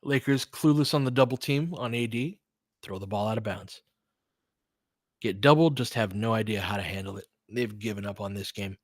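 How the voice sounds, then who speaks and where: A man's voice: on the low side, moderate pace, no room sound. Man doing casual, conversational basketball commentary, dry sound. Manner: informal, conversational, thinking aloud. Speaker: host and play-by-play commentator. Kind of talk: casual live-stream basketball commentary, chatting with listeners.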